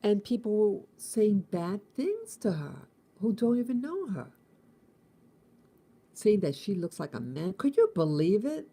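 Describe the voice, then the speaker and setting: A woman in her late fifties from the United States with a deeply mellow, dark tone. She talks through a morning reflection as the host. She thinks aloud, restarting phrases and circling back on her words. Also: very animated, breathy edge. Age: 50s. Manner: halting, repeats and restarts, musing. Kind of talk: casual spoken morning reflection.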